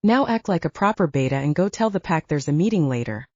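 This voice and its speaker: A woman's voice: deep voice, lively intonation, fast talker, darkish tone, dry recording, lo-fi sound. A woman voicing a stern, proud male alpha werewolf with a blunt, commanding manner. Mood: firm, warning.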